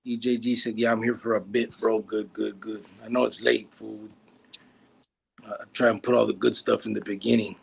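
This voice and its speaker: Forties man, gravelly husky voice, teasing livestream host reading chat. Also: on the low side, little pitch movement, moderate pace, poor audio. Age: 40s. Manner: joking, teasing, reads comments aloud.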